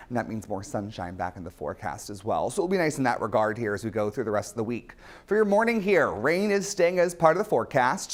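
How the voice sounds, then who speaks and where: A man presenting a morning weather forecast on local TV, easygoing and chatty. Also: medium pitch. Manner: easygoing, chatty, repeats "as we go through it". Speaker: meteorologist.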